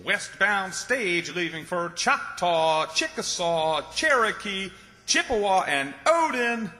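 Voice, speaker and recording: High, bright depot announcer played by a man. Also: very animated, exaggerated voice. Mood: declaiming. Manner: declaiming, drawn-out. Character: bus depot announcer, male, loud drawn-out calls. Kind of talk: old-time radio comedy acting, public announcement. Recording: distant calls in reverberant hall.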